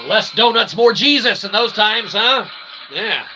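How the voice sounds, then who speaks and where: A man's voice: sits high, lively intonation, takes it slowly. A man hosting a livestream, giving a rambling philosophical monologue in wordy, convoluted phrasing with frequent tag questions. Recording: clear background noise.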